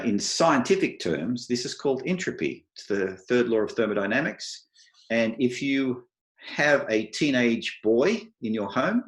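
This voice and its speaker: A middle-aged Australian man giving an advice talk to an online meetup, speaking slowly and clearly with dry humour. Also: medium pitch, roomy sound. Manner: slow, deliberate, clear delivery for non-native listeners.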